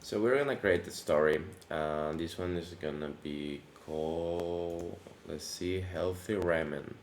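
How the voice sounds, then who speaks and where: A man hosting a casual coding tutorial, his voice deepened by allergies, talking in a relaxed, chatty way with many fillers and restarts. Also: measured pace. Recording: echoey room with faint background noise.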